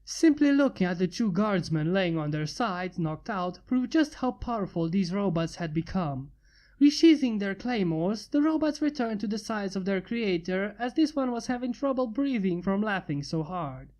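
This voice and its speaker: A woman narrating a fan fiction story in a steady, even reading voice. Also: medium pitch, normal speed. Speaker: fanfiction reader.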